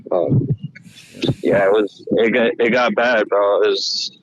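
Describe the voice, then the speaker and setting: A man on a phone call, recounting what he saw in a halting, repetitive, slangy way. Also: lowish voice, steady tone, slight background noise. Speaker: eyewitness phone caller. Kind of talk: casual recounting.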